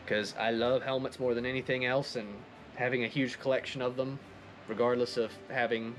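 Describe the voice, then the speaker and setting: A man giving a casual, enthusiastic recap of a craft project as a hobbyist video presenter. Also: medium pitch.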